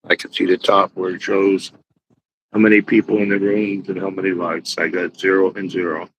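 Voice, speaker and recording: A man with a deep, raspy voice hosting a live chat room, chatting casually to himself while he waits for people on a small external mic. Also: level tone, moderate pace, background noise. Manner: rambling, talks to himself while waiting.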